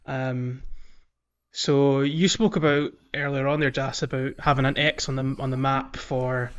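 A man's voice: medium pitch, little pitch movement, unhurried, a bit bright, smooth. A man hosting a casual video game playthrough podcast, explaining things in a hesitant, rambling way. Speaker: podcast host.

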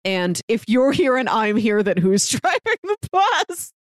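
A woman's voice: fairly high pitch, very animated, measured pace, no echo, clean studio sound. A woman co-hosting a podcast, chatting casually about films in a conversational way. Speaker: podcast co-host. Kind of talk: casual film discussion chat.

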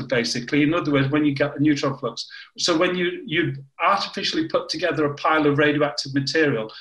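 An older man from the north of England with a northern English accent tells stories at an unhurried, conversational pace. His voice sits a little high for a man and keeps stopping and restarting mid-sentence. The room has a noticeable echo. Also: fairly bright tone. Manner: anecdotal, self-correcting with frequent restarts. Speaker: interviewee, former scientist. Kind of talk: conversational interview.

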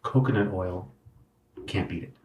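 Low-voiced man in an echoey room. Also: darkish tone. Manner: slow, halting explanations with fillers. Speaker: sex shop owner, guest. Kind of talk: casual podcast chat. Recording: echoey room with faint background noise.

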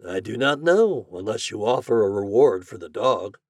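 A man's voice: fairly low pitch, animated, normal speed, dry recording, studio quality, far from the mic. A man voicing a poor, lovelorn glassblower in a storybook, plaintive and earnest. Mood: glum, indifferent.

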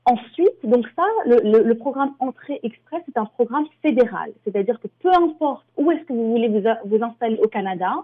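A woman's voice: on the high side, animated, normal speed, hardly any pauses, breathy edge. A woman from France, an immigration lawyer, explaining things as a radio guest with many hesitations. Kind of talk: interview answers. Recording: tinny phone line.

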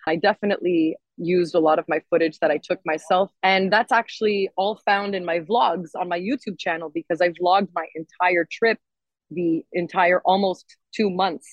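A woman's voice: on the low side, normal speed, no room sound, highs cut off. A woman singer-songwriter chatting casually and enthusiastically as an interview guest. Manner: enthusiastic, expressive.

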